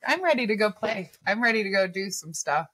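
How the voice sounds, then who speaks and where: A woman co-hosting a podcast, chatting casually in an excited, gushing manner. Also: mid-range voice, brisk, slightly rough. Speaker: podcast co-host. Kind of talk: casual chat.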